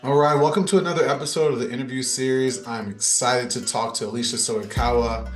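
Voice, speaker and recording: A man hosts an interview show with casual, conversational delivery. His mid-pitched voice comes with a roomy echo, and music plays faintly behind him. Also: fairly even intonation, fairly quick.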